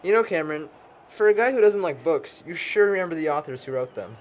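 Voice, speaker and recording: A man's voice: a little high, lively intonation, fast talker, lightly acted. A young American man acting as a wordy know-it-all who teases his friends in film dialogue. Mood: teasing. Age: young adult. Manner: wordy, teasing, lecturing. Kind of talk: naturalistic amateur film dialogue. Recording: noticeable background noise.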